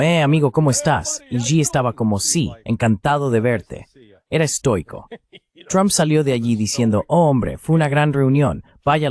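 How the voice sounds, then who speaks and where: A man's voice: medium pitch, darkish tone. A man reading a translated voice-over of a political commentator in an interview.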